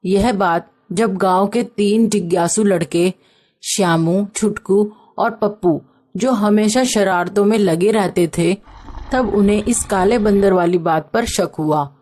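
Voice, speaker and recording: A woman's voice: medium pitch, takes it slowly, mellow. A woman reading a scripted story as its narrator.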